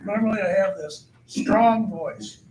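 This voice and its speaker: A hoarse man chairing a county board meeting, repeating himself and asking the room questions. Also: sits high, takes it slowly. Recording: echoey hall, far from the mic, background noise.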